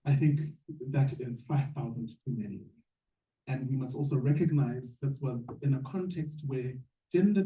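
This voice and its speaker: A man with a southern African accent giving a measured, earnest speech as an activist on a panel. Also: medium pitch, warm tone. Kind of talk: prepared public panel speech. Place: echoey room at a panel event. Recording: echoey room, distant mic.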